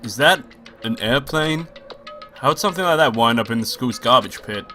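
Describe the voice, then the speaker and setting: A man's voice: medium pitch, lively intonation, moderate pace, pushed and bright. Sick, nasal man reading a game aloud. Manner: swearing, offhand asides between read lines. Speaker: let's play host reading a visual novel aloud. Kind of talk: casual let's play commentary and read-aloud. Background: game music.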